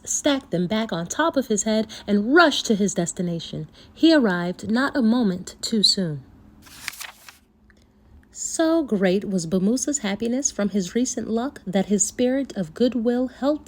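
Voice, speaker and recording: A woman storyteller reading a folktale aloud for children, with expressive, deliberate phrasing. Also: mid-range voice, a little hiss or hum.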